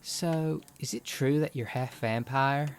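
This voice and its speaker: A man's voice: mid-range voice, a little slow, lightly acted. A man playing an eager, kindly young student with octopus tentacles, folksy and hesitant in an audio roleplay. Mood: wondering. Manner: folksy, hesitant, corny joking. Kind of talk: character acting in an ASMR audio roleplay.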